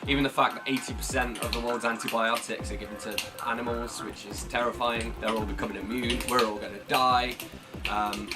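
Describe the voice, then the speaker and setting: A man chatting casually to camera as a book vlogger, in a rambling, self-deprecating way. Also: medium pitch.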